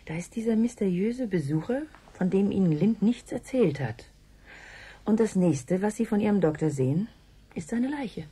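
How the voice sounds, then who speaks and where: An actress playing a sceptical, sharp-tongued, logical woman in a German radio play, brusque and sardonic in manner. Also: mid-range voice, expressive, moderate pace, husky. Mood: probing.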